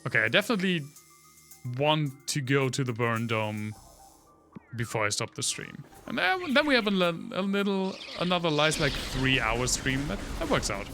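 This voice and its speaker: A German-accented man rambles through trivia for his chat while playing a game, his voice bright and forward through a studio condenser mic. Faint game music plays under his talk. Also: fairly high pitch, sing-song, normal speed. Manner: rambling, full of trivia, talks to chat between gameplay reactions. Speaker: livestreamer. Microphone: studio condenser microphone.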